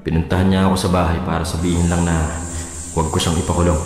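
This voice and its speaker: An actor playing a wounded, jealous husband, accusing and pleading in an emotional Filipino radio drama. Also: male voice, low-pitched, little pitch movement, fast, darkish tone, close to an everyday voice. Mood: bitter. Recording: echoey reverberant playback with music.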